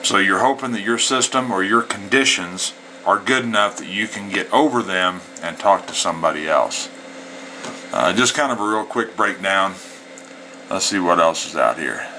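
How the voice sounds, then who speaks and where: A man's voice: low-pitched, animated, moderate pace, pushed and bright, rough recording. A man operating a CB radio, speaking in a folksy way with CB slang, between radio calls and casual explanation. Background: CB radio static and chatter.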